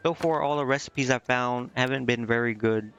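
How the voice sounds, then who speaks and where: A man's voice: medium pitch, fairly even intonation, normal speed, fairly bright tone. A man casually commenting on a video game he is streaming, thinking aloud and reading bits of on-screen text. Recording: slightly dull lo-fi mic, game music behind.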